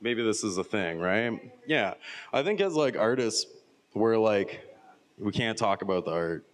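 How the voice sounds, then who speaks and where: Brief: low-voiced male musician, halting answers, hall echo. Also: expressive, a little hiss or hum. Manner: hesitant, filler-heavy. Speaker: musician guest. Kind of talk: casual onstage interview. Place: museum event room with live audience. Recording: long hall reverb.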